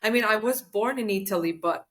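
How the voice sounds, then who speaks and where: A young woman from Croatia, whose first language is Croatian, chats on a podcast. She tells her stories with animated energy, often restarting sentences and breaking into laughs along the way. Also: medium pitch, fairly quick. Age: young adult. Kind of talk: casual podcast chat.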